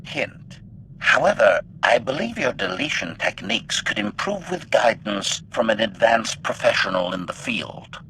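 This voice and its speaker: A sharp-edged, swooping robot servant speaks in clipped, formal phrases. It is a video game assassin droid, unfailingly polite and coldly bloodthirsty, with a low gravelly tone. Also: male voice, sing-song, a little slow, slight background noise, full character voice. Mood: coldly eager. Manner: clipped, formal, announces each statement type. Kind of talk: video game character acting.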